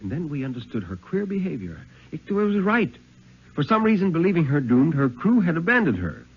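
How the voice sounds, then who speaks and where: Husky, animated man reading a thriller. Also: on the high side. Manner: theatrical, suspenseful, deliberate phrasing. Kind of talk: dramatic first-person reading of a suspense story, 1950s radio. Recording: dull vintage 1950s radio recording.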